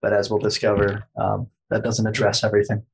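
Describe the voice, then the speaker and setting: A man's voice: lowish voice, monotone, a little fast, vocal fry, a little mellow, rough recording. A man talking as a podcast guest, explaining history at length in a lecturing way, hedging often with plenty of fillers.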